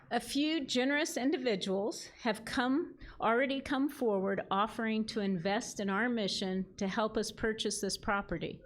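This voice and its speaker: A woman delivers an earnest prepared speech at a gala. She reads steadily from a script, speaking as the leader of a ministry. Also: medium pitch.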